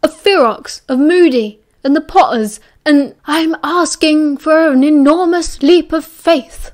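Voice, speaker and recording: A woman voicing an old male headmaster wizard, calm and measured, speaking slowly and softly, often near a whisper. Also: high voice. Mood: firm, loud. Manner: slow, soft, half-whispered delivery.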